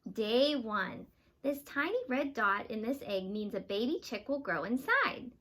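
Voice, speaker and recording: A woman's voice: fairly high pitch, lively intonation, unhurried, a bit bright. A woman teacher giving a read-aloud lesson to young children, explaining simply and asking them questions. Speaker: teacher reading aloud to students.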